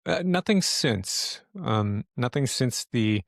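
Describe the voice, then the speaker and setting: Male game master, mid-pitched, casual and sweary, thinking aloud in a dry recording. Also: expressive, vocal fry, no echo. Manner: halting, profane. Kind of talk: improvised tabletop game narration and casual chat.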